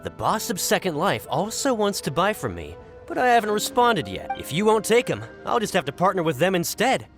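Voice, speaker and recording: High-pitched, wheedling market seller. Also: male voice, wildly swooping pitch, fairly quick, few pauses, music in the background, heavily acted. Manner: coaxing, exaggerating salesman. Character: wheedling, boastful male fruit seller. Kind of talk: video game character voice acting.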